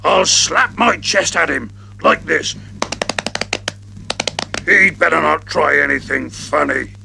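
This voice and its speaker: A man voicing a gruff, blustering male gorilla who warns off newcomers. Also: a little high, slow, music in the background, heavily acted.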